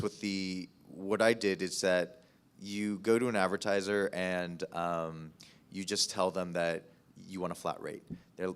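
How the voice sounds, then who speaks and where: A man speaking informally as a podcast producer on a panel, explaining things in a halting, rambling way. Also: low-pitched.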